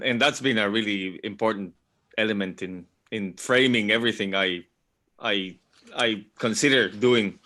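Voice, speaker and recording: A middle-aged man from Venezuela, heard over a video call. His voice is bright and clear. He speaks thoughtfully and circles back with repeated words. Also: lowish voice. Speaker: panel guest. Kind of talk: online panel talk.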